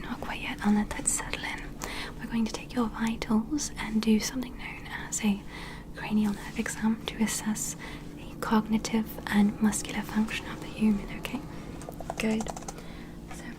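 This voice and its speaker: A woman playing a gentle, attentive alien medical examiner in an ASMR roleplay, whispering slowly and soothingly in a breathy, reassuring way. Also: a little high, natural voice, rough recording. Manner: slow, soothing, reassuring, procedural.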